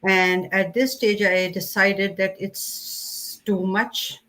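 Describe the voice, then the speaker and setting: A woman's voice: medium pitch, steady tone, slow, sharp tone. A middle-aged woman speaking candidly and a little hesitantly as a guest on a video call. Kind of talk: casual video call interview.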